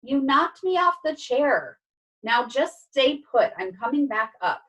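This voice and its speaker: A woman's voice: on the high side, animated, a little slow, far from the mic, pitched above the performer's own voice, poor audio. A woman voicing a bossy, self-important male cat in a storybook, with a commanding, exasperated and insistent manner.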